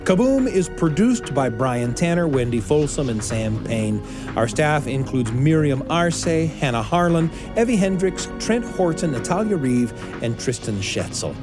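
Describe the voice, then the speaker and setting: Animated man hosting a podcast, very lively pitch. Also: unhurried, over music. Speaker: podcast host. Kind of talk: warm-up chat and scripted podcast hosting for families.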